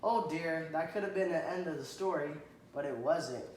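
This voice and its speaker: A man reading a children's picture book aloud to a child, stopping to point at the pictures and ask questions, and often clearing his throat. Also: fairly high pitch, moderate pace, darkish tone. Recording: echoey room, faint background noise.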